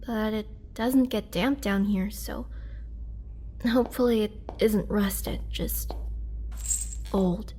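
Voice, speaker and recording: A woman playing a weakened, imprisoned vampire in a roleplay audio drama, gentle and polite, speaking hesitantly with soft, whispery breath and a pleading earnestness. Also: mid-range voice, takes it slowly, pauses often, slight background noise, close to an everyday voice. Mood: careful, hopeful. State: weak, drained. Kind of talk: girlfriend roleplay audio drama, intimate acting.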